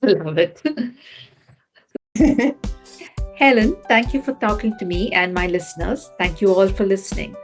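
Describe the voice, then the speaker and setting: A woman's voice: mid-range voice, measured pace, long pauses, faint music behind. A woman hosting a podcast, asking her guest questions with upbeat, encouraging reactions. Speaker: podcast host. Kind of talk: interviewing a guest.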